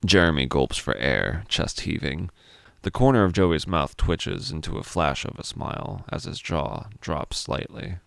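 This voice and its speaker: An extremely low-voiced man narrates a dramatic story. His voice is smooth and resonant, recorded close and dry. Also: animated, a little slow, a bit bright, no room sound.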